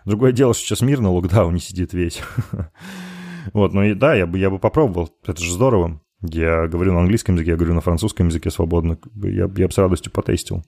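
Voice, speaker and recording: Casting: man from Chelyabinsk, rapid chatty interview guest, dry close sound. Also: sits low, gravelly, warm tone, no echo. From Chelyabinsk, Russia. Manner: quick, chatty and joking, with playful asides. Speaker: podcast guest. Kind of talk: casual interview chat.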